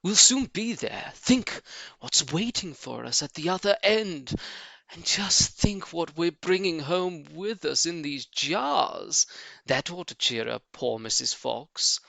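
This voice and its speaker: A man's voice: on the high side, animated, takes it slowly, vocal fry, sharp tone, dry recording, pitched above the performer's own voice, exaggerated voice. A man voicing a dashing, cheerful fox father in a storybook, urging and confident in manner. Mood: cheerful, spirited. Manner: spirited, urging.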